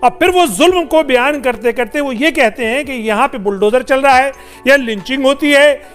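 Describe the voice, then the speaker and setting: A man's voice: extremely high pitch, moderate pace, a bit bright. A man on a TV debate panel arguing his case insistently and talking over others, with music behind. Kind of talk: argumentative TV debate.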